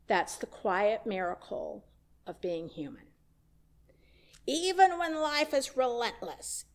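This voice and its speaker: Woman, minister, sermon, slow measured delivery, reflective tone. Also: fairly high pitch. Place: church sanctuary.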